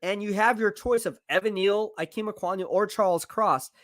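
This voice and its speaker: A man live-streaming football draft commentary, excitable and hyping each pick, his voice going hoarse. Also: high voice, normal speed, no room sound, studio quality. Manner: excitable, hypes picks, reads chat aloud. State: losing his voice.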